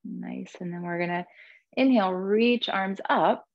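A woman teaching a guided yoga class in a soothing, gentle, unhurried manner, cueing breath and movement. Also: mid-range voice, no room sound, highs cut off.

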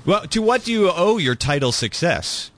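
A man's voice: sits high, very animated, normal speed, a bit bright. A man hosting a casual panel chat, joking and teasing as he leads the conversation with questions. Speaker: podcast host.

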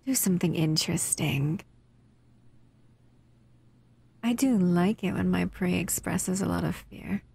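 Slow, creaky female monster, acted by a woman, languidly menacing. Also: lowish voice, dark tone, exaggerated voice. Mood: playful menace. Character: dangerous female humanoid monster, bored, toying, menacing predator. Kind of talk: slow audio roleplay to the listener.

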